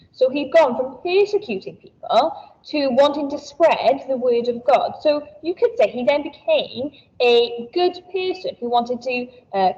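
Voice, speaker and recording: A woman's voice: high-pitched, warm tone. A woman teacher giving a recorded school assembly, cheerful and encouraging as she talks to children. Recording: dull lo-fi sound, a bit distant.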